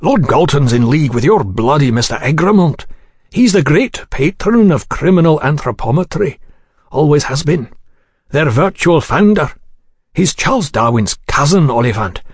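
Man playing rattled, indignant English gentleman official. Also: on the high side, sing-song, moderate pace, husky, dry recording, studio quality, voice pushed up. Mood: exasperated. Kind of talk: audiobook character dialogue.

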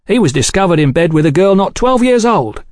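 A portly, suspicious thug from an audiobook story, as a male narrator puts him on. The voice is pushed high and is blunt, indignant and always ready to argue. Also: animated, fairly quick, dry recording, studio quality. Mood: flat, defiant. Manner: blunt, challenging.

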